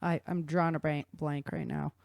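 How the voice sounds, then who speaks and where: A woman's voice: low-pitched, level tone, moderate pace, vocal fry, darkish tone, dry recording. Woman host chatting, very dry sound. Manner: agreeable, brief backchannel responses. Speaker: podcast host. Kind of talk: casual chat.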